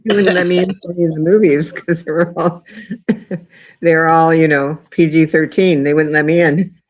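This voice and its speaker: A woman's voice: sits low, moderate pace. A woman hosting a casual online chat from home, telling stories with lots of laughter. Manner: animated storytelling, laughs often.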